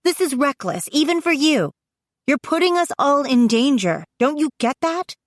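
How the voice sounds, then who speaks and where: A woman's voice: fairly high pitch, measured pace, no echo, studio quality, pitched above the performer's own voice, lightly acted. A woman voicing a fiercely protective college-age sister in an audiobook, emotional and exasperated, with an emphatic, pleading delivery. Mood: angry, alarmed. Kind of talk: dramatised audiobook dialogue.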